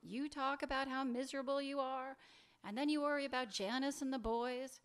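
A young woman reading aloud as a weary, impatient lover, soft-spoken and curt, at a table mic. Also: high voice, steady tone, fairly quick, smooth, lightly acted. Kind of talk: live fiction reading of dialogue. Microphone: table mic on a stand.

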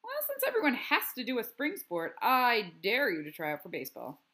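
A woman's voice: a little high, huge pitch swings, brisk, pushed and bright, breathy edge, pitched above the performer's own voice, heavily acted. A woman voicing a cocky, teasing schoolboy in a storybook, with a taunting, theatrical manner.

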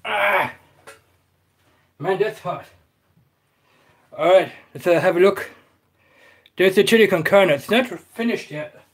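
A man's voice: sits high, slow pace, long pauses, slight background noise. A man with a slight Irish accent chatting to viewers while he cooks in a small home kitchen, full of fillers and calls of "guys". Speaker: cooking vlogger. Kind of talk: casual live cooking talk.

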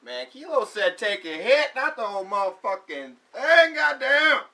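A man's voice: very high-pitched, big pitch swings, normal speed, gravelly, sharp tone, recorded from a distance, exaggerated voice. A young man playing Kilo, a brash, profane gangster who talks about himself in the third person, in a garage at night. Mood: swaggering.

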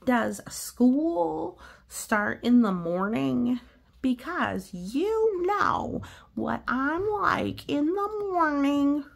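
Woman voicing a dramatic, anxious, high-pitched storybook pigeon. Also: very animated, gravelly, full character voice. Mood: whiny protest. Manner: drawn-out, stretched, emphatic words. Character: anxious, dramatic, whiny young cartoon pigeon. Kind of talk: storybook read-aloud character voice.

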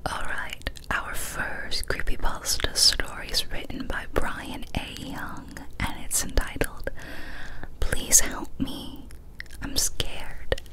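A young woman whispers softly right up at a studio microphone. Her delivery is intimate ASMR, gentle and deliberate, with breathy, unvoiced words that take their time. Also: rough recording. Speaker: ASMR YouTuber and host. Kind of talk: whispered ASMR, intimate talk and story reading. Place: small cozy indoor room. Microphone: large studio microphone close to the mouth.

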